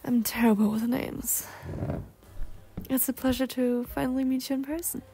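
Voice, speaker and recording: A woman's voice: high-pitched, fairly even intonation, moderate pace, mellow, husky, faint music behind. A young woman voicing a polite, bookish, insecure cougar-girl on a date in an ASMR roleplay, speaking formally with flustered hesitations. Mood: polite, nervous.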